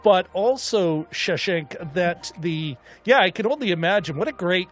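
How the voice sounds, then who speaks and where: A man co-hosting a film discussion show, chatting casually in a rambling, animated way as he thinks aloud. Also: high voice, a little slow, faint music behind. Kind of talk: casual chat.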